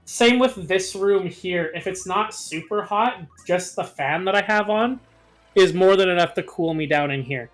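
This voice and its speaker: A man's voice: sits high, moderate pace, a bit bright. A man in casual, playfully insistent banter with friends as he co-commentates a video game. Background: game music.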